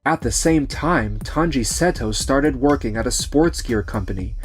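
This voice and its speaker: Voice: medium pitch, lively intonation, normal speed, faint music behind. A narrator reading scripted story narration for a manga dub.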